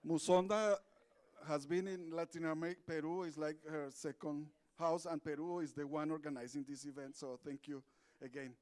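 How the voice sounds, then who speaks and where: A man's voice: a little high, fairly even intonation, moderate pace, a little mellow, clean tone. A man moderating a conference side event, friendly and loose, with fillers and restarts. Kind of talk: meeting moderation. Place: conference room.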